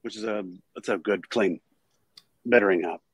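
A male brewmaster guest answering questions casually, pausing often to recall details. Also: fairly low pitch, slightly rough, no room sound. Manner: hesitant, lots of uh and um while recalling numbers.

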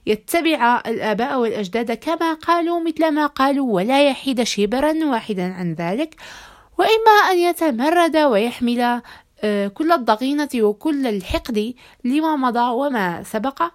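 A woman hosting a podcast, addressing listeners in formal Arabic with a measured, rhetorical delivery and a slightly hoarse voice. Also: high voice, expressive. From Algeria. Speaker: podcast host.

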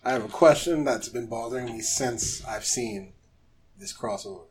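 A man's voice: mid-range voice, lively intonation, normal speed, a little hiss or hum. A man co-hosting a podcast with casual banter in a jokey, theatrical manner. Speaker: podcast co-host.

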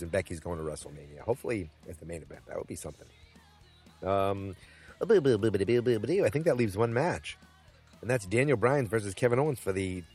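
A man hosting his own podcast, rambling through picks with jokes, asides and lots of filler words. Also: fairly low pitch. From New England. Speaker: podcast host. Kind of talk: casual solo wrestling podcast. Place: Boston.

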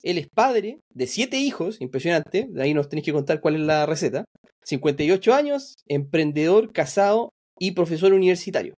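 A man's voice: on the high side, sing-song, brisk, a little mellow. A man, hosting a podcast and asking chatty questions. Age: 40s. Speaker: podcast host. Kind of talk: casual interview talk.

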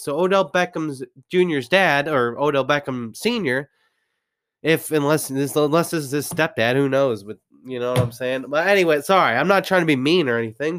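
A man with a slightly high voice and a stuffy nose from a cold rants through a football recap. He stumbles over his words and restarts often, but he never lets go of his opinions. His voice is close and dry, with no room sound around it. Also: fairly bright tone, no echo. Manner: opinionated, rambling, frequent restarts. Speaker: sports commentator. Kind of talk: ranting sports recap.

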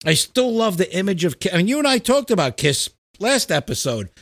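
Blunt, higher-voiced middle-aged man chatting. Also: sing-song, a little fast, no echo, studio quality. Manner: profane, blunt, opinionated, emphatic. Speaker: podcast host. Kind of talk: casual chat.

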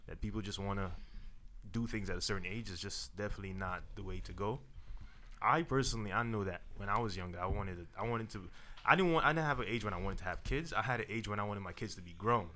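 A man's voice: fairly low pitch, bright, forceful tone, a little hiss or hum. A man talking casually and reflectively as a panelist in a group discussion. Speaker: panelist on a talk show.

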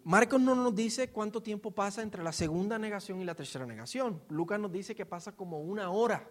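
A man with a Puerto Rican accent preaching in an informal, storytelling way, explaining scripture and asking rhetorical questions. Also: high-pitched. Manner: informal, acts out quotes and asks rhetorical questions. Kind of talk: expository sermon, conversational storytelling.

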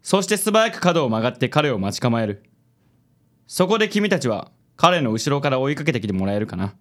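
ラジオドラマで、自信に満ちた紳士的な気取った色男を演じる男性。. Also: male voice, mid-range voice, big pitch swings, brisk, a bit bright. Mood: confident. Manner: smooth, affected gallantry. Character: suave, self-assured handsome gentleman charmer. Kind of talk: radio drama acting.

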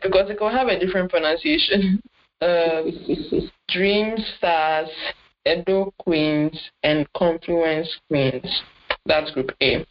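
A podcast co-host chats casually and hesitantly, often stopping to correct themselves. The voice sits at a middling pitch. The recording is dull and lo-fi. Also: takes it slowly, slight background noise. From not Nigerian. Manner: chatty, hesitant, self-correcting. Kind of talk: casual sports chat. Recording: dull lo-fi audio.

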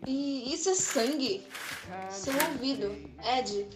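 An actress playing a worried, bewildered wife and mother in an audio drama, questioning and hesitant as she tries to stay calm. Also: high-pitched, takes it slowly, poor audio. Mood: alarmed, concerned.

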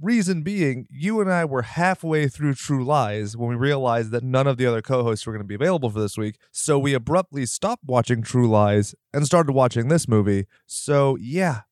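A man hosting a horror movie podcast, casual and jokey, full of quick playful asides. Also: medium pitch, a little mellow, dry recording, studio quality. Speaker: podcast host.

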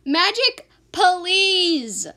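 A woman's voice: very high voice, very animated, extremely slow, pushed and bright, heavily acted. A woman playing a sassy, sceptical young woman in an amateur radio drama, sarcastic and indignant. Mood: mocking.

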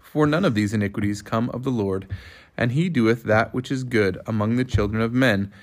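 A man's voice: lowish voice, normal speed. A man reading scripture aloud as part of a family reading.

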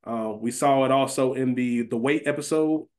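A man's voice: mid-range voice, normal speed, darkish tone. A man co-hosting a podcast, chatting casually and slangily and repeating phrases for emphasis. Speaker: podcast co-host. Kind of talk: casual fantasy-draft banter.

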